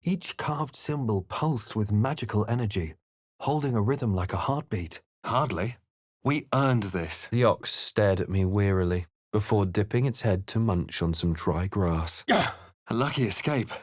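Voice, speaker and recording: A man voicing a brooding fantasy adventurer in a video game, speaking in a measured, earnest, theatrical way. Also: on the low side, lively intonation, dry recording, studio quality. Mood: wry, casual. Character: brooding male fantasy adventurer hero, narrating his visions and deeds. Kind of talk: dramatic video game voice acting.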